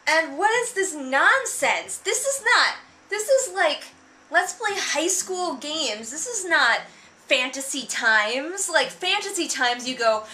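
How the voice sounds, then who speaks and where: Bright, high-voiced sarcastic woman commentator. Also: sing-song, slow. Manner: exasperated, mocking, emphatic, sprinkled with swearing. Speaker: book reviewer and vlogger. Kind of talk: casual sarcastic read-along commentary.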